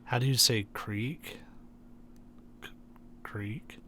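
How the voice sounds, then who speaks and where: A man's voice: mid-range voice, fairly even intonation, extremely slow, sharp tone, a little hiss or hum. A man from Kentucky chatting casually while playing a game on stream, rambling with lots of fillers and restarts. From Kentucky, USA.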